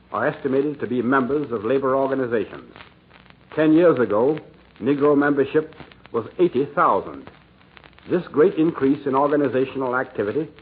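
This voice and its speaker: A man delivering formal scripted narration for 1940s radio in a measured, declamatory way. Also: mid-range voice. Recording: scratchy, tinny 1940s radio recording.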